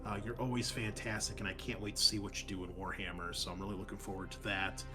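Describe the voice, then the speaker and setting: A man's voice: on the low side, flat delivery, quick pace, music in the background. A man chatting casually as game master and host after a tabletop game, in an informal, rambling, sometimes sweary way.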